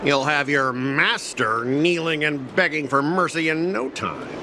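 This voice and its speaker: Smug, high-pitched male mech salesman. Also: animated, a little slow, background noise. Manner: sneering, theatrical, sarcastic. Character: smug, mocking young male mech salesman and courier boss. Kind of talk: video game cutscene acting.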